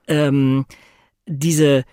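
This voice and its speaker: A man's voice: medium pitch, little pitch movement, extremely slow, warm tone, dry recording. Male discussion guest, middle register, casual and conversational, dry studio-close sound. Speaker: guest on a film discussion podcast.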